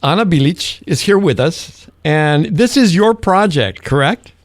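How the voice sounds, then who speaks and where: A man's voice: a little high, lively intonation, unhurried, a crowd in the background. A man hosting a radio morning show, talking casually and interviewing a guest in a studio.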